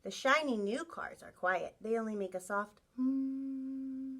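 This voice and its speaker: A woman teacher reading a picture book aloud to young children in an expressive storytelling style, acting out sound effects. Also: high voice, a little slow, husky. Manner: animated, acts out sound effects, asks listeners questions.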